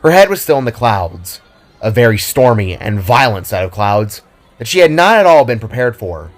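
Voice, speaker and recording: A man's voice: medium pitch, big pitch swings, normal speed. A man reading scripted fiction narration as the storyteller.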